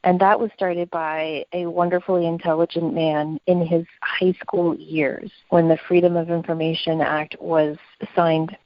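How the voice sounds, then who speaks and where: A woman's voice: fairly low pitch, flat delivery, unhurried, hardly any pauses, dry recording, lo-fi sound. A woman co-hosting a conversational podcast, speaking in an earnest, opinionated way. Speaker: podcast co-host.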